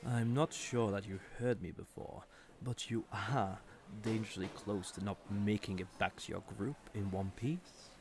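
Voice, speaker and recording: An actor playing a haughty, sardonic villain commander in a roleplay audio drama, condescending and drawling, with mock-sweet menace. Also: male voice, lowish voice, moderate pace, a little mellow, a little hiss or hum, lightly acted. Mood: cold, teasing.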